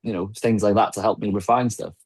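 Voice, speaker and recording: Man, husky and low, dry close sound, relaxed hesitant podcast guest. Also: quick pace, no echo. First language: Welsh. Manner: relaxed, hesitant, swears casually. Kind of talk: casual podcast chat.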